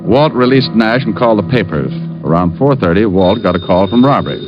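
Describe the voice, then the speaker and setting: An actor playing a wry, confident private detective in an old radio drama, speaking and narrating in a dry, clipped manner. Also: male voice, fairly low pitch, expressive, a little fast, natural voice. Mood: dry narration. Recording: muffled old radio recording with music.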